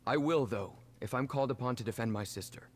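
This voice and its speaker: A man's voice: medium pitch, quick pace, natural voice. A man voicing a worried, hesitant young brother with magic powers in a video game. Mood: hesitant, resolute. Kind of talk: video game character dialogue.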